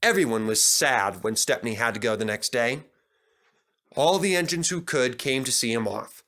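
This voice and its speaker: Bright, animated male storyteller. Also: medium pitch, normal speed. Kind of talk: animated children's story narration.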